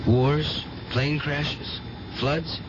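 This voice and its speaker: A man's voice: on the high side, fairly even intonation, extremely slow, noisy background. An actor playing an earnest, touchy orphaned young man who believes he is a visionary, in 1970s radio drama style. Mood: fervent, intense. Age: young adult. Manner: earnest, fervent. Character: intense orphaned young drifter, devout, touchy, delusional visionary. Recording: muffled vintage radio broadcast with music.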